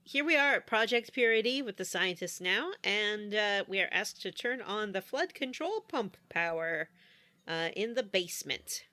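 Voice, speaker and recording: A woman's voice: mid-range voice, expressive, moderate pace, bright, forceful tone. A woman co-hosting a podcast, chatting casually about a video game. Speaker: podcast co-host.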